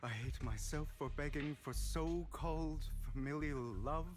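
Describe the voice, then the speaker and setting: A male actor playing a wounded, resolute young man in a video game, quietly bitter and measured, with whispered turns. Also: fairly high pitch, natural voice. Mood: pained, bitter. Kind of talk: dramatic video game voice acting. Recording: clear background music from game.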